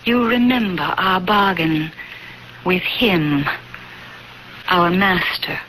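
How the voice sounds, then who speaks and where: A woman's voice: on the low side, animated, slow, fairly bright tone, a little hiss or hum, poor audio. An actress playing an anxious young woman in a 1970s radio drama, speaking hesitantly and dramatically, on an old broadcast recording. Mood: intense, pleading. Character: anxious young woman hiding a supernatural secret.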